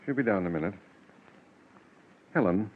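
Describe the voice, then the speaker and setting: An actor playing a doting, indulgent father in a 1940s radio drama, gentle and cajoling. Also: on the low side, animated, normal speed, breathy edge, narrow, tinny audio. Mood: placating. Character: doting, indulgent father and husband, soothing and affectionate. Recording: thin, band-limited 1940s radio recording.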